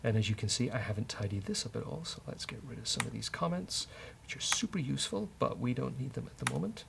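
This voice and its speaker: A man with an Irish accent hosting a casual live-coding demo, dry, teasing and halting. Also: medium pitch, creaky, very noisy. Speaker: host, software developer.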